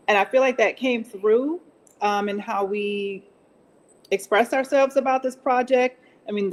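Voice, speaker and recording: A woman's voice: mid-range voice, darkish tone, poor audio. A woman speaking as an interview guest, earnest and effusive in a casual, conversational way. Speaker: podcast drama producer and actress, guest.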